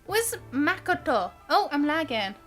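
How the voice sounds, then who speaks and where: A woman streamer talks casually over her gameplay. Her voice is high and bright, and she muses aloud in a loose, chatty, giggly way. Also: sing-song, measured pace, soft background music. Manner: giggly, musing aloud, frequent "like". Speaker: game live streamer. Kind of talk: casual live gameplay commentary.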